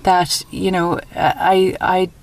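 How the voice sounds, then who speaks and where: A woman with an Irish accent speaks in a low voice. She is a guest in a conversational interview, sharing personal stories in an informal way. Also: completely flat delivery, slow, no room sound, rough recording. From Dublin, Ireland.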